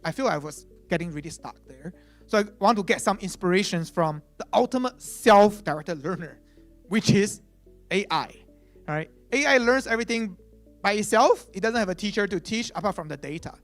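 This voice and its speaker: Man, native chinese speaker, product officer keynote, conversational, fillers, soft music behind. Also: high-pitched. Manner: conversational, frequent "uh" and "right?" tags. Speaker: chief product officer presenting. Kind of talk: conference keynote talk.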